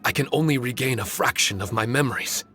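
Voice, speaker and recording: A man playing a calm, courteous male spirit overseer in a video game, with formal and polite phrasing. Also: medium pitch, lightly acted. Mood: calm, reassuring. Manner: formal, measured wording, polite. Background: music.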